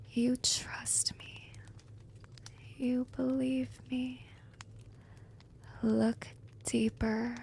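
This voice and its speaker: A woman playing a seductive, commanding vampire in an ASMR roleplay, breathy and whispery, coaxing and teasing in a hypnotic manner. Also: on the high side, dark tone, a little hiss or hum, heavily acted. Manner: slow, hypnotic, coaxing, dominant.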